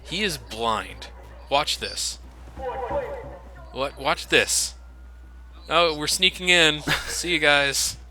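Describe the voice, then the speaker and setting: A man's voice: a little high, lively intonation, drawn-out words, long pauses, pushed and bright. A man hosting a let's play with casual, sardonic, sweary game commentary.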